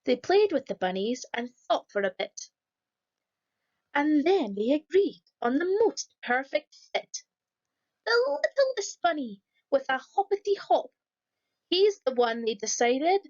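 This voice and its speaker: A woman reading a rhyming children's picture book aloud in a lively, sing-song storytelling manner. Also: high-pitched, highs cut off. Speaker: storybook reader.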